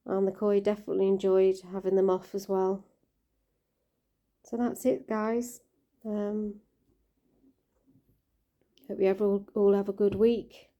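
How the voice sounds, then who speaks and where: Croaky-voiced woman, gentle and chatty. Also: mid-range voice, level tone, unhurried, pauses often, muffled recording. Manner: gentle, caring, chatty with fillers. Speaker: koi keeper vlogger. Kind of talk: casual vlog narration.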